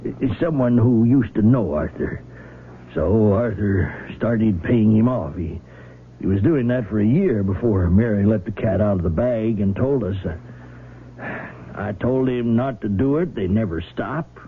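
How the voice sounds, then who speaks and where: Worried earnest husband, low voice, stumbles over words, muffled old radio play. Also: male voice, expressive. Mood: anxious, defensive. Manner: halting, repeating words. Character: earnest, troubled small-town husband, loyal keeper of secrets. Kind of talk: 1970s radio drama acting. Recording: muffled, noisy vintage radio broadcast.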